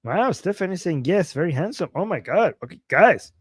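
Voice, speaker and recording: A man's voice: high-pitched, very animated, moderate pace, husky, dry recording, clean studio sound. Chatty, chuckling man in his forties. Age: 40s. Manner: playful, chuckling, repeats himself while reacting to chat. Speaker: livestream host. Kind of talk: casual livestream chat reading viewer comments.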